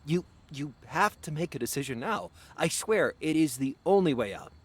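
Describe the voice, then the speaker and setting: A man's voice: fairly high pitch, expressive, moderate pace, husky. Practical, fumbling businessman. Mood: urgent. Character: brisk, practical, awkward businessman and family friend. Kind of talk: visual novel voice acting.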